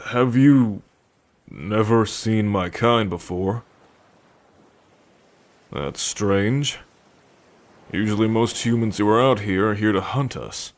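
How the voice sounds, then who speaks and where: A man playing a wary, curious merman in an ASMR roleplay, speaking hesitantly and full of questions to the listener. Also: fairly low pitch. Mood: puzzled, suspicious. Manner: slow, hesitant, questioning delivery. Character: wary, curious young merman, gruff rescuer.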